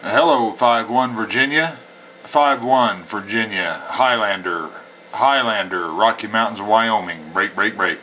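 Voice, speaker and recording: A man's voice: lowish voice, unhurried. A man operating a CB radio, speaking in a folksy way with CB slang, between radio calls and casual explanation. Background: CB radio static and chatter.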